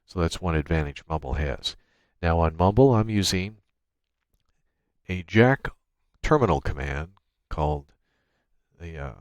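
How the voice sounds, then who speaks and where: A man presenting an informal software tutorial, explaining things step by step into a USB microphone. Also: low-pitched, no echo. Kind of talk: informal software screencast walkthrough. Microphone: USB microphone through a noise gate.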